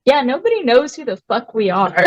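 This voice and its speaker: A woman's voice: high voice, fairly bright tone. A woman from a punk band talks easily about touring in a casual interview and laughs often. The sound is dry and close, with no room echo. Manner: laid-back, laughing often. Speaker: band member guest.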